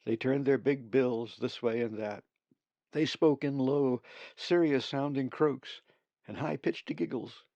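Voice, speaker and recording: A man narrating an audiobook of his own story in a measured storyteller's manner. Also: mid-range voice, gravelly, mellow, no echo, dull audio. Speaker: author reading own book.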